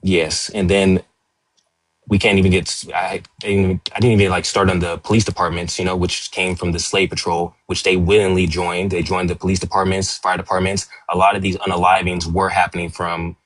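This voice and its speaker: Brief: panelist, male, very low monotone voice, dry close sound. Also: brisk, no echo. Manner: steady, earnest argument. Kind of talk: live online panel debate. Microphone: remote call audio.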